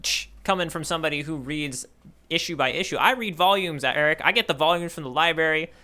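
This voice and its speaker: A man co-hosting a casual manga discussion podcast in a playful, animated way. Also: a little high, brisk. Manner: playful, animated, with spoken sound effects. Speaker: podcast co-host.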